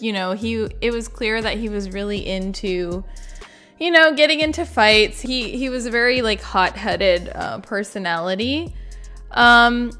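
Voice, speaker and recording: A woman's voice: fairly high pitch, bright, forceful tone. A woman giving casual, unscripted gaming commentary in a chatty, informal way, peppered with "like" and "you know.". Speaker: gaming video creator and commentator.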